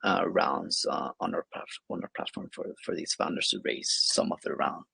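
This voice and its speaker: A man's voice: low voice, moderate pace, creaky, bright, forceful tone, slightly rough, dry recording. A man from Venezuela, a guest explaining his work in a webinar interview, hesitant with many fillers and restarts. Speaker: guest, investment platform associate.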